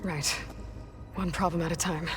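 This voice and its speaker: An actress playing a dry, direct young hunter. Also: female voice, on the low side, fairly even intonation, normal speed, over music, lightly acted. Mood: even. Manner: direct, dryly understated.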